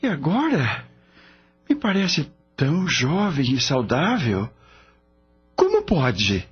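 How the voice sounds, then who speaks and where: A naive young man played by an actor, newly arrived in a radio-drama afterlife. He is husky and hesitant, with lively, wondering swoops in pitch. Soft music sits behind the muffled recording. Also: slow pace, heavily acted. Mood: amazed. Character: naive, curious male newcomer to the spirit world. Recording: dull lo-fi audio with background music.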